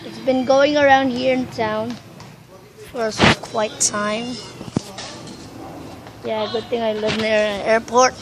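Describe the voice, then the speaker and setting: A fairly high-voiced woman with a Filipino accent, chatting in a loose, excitable, think-out-loud way. She films on a phone outdoors, and steady background noise and passing traffic run under her voice. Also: slow pace, pauses often. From Philippines. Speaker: vlogger. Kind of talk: casual vlog commentary.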